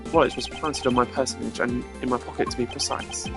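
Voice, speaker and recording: A game streamer voicing a composed, haughty male prosecutor with a formal, pompous, measured delivery. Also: mid-range voice, fairly even intonation, gravelly, music in the background, lightly acted. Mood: measured, explanatory.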